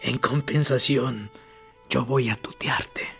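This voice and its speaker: An actor playing a dead male prisoner in a radio drama, a calm, mocking voice that speaks in a gravelly half-whisper with dry sarcasm. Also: mid-range voice, slow pace, faint music behind, exaggerated voice. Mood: sardonic. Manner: dry, sardonic, probing.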